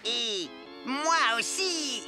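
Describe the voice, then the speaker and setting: High-pitched earnest young child. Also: female voice, expressive, very slow, music in the background. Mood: eager. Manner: earnest, instructive. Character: earnest eager young child playing superhero sidekick. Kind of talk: French cartoon dub.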